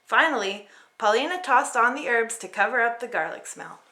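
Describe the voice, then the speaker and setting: A woman narrating a children's story in an expressive read-aloud style. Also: fairly high pitch, normal speed, slight background noise. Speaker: storybook reader.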